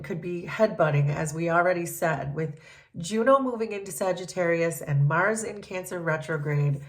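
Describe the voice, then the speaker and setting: A woman reads tarot cards for her viewers in a gentle, unhurried way, pausing often to think. Her voice sits a little low and sounds stuffy from a cold. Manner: gentle, thoughtful, many ums and pauses. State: congested from a cold. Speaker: tarot reader. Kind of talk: collective tarot reading.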